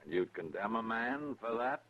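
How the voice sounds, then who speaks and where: Guy doing clipped dismissive cavalry major, pitch moves around a lot, tinny 1950s radio western. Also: lively intonation, moderate pace, a little hiss or hum, lightly acted. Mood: curt, challenging. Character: curt, skeptical cavalry major commanding a frontier fort. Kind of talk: 1950s radio drama acting.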